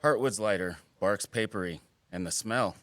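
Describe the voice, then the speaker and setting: An actor playing a wry, guarded wildlife biologist in an audio drama, with teasing, dry sarcasm and an earnest streak. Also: male voice, on the low side, normal speed, a bit bright, no room sound, lightly acted. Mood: matter-of-fact. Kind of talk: naturalistic audio drama acting.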